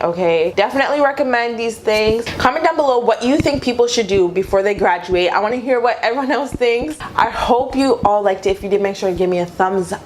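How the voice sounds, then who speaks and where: A woman's voice: medium pitch, a little hiss or hum. A young adult woman chatting casually to viewers as a vlogger, giving advice in an informal way and repeating phrases for emphasis.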